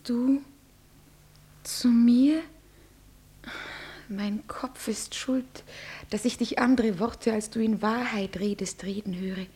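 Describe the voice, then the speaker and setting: A woman playing a fearful, devoted young bride, speaking verse in a breathy, often whispered voice. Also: a little high, steady tone, slow pace, pauses often, dark tone. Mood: distressed.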